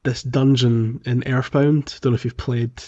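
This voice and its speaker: A man co-hosting a podcast, chatting informally and a little haltingly about playing a video game. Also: medium pitch, little pitch movement, warm tone, lo-fi sound. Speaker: podcast co-host. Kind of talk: casual chat about a video game playthrough.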